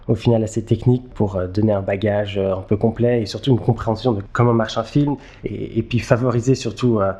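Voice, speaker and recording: A man, giving halting, conversational interview answers and repeating words as he thinks. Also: fairly low pitch, fairly even intonation, mellow. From Geneva, Switzerland. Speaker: interview guest, filmmaker.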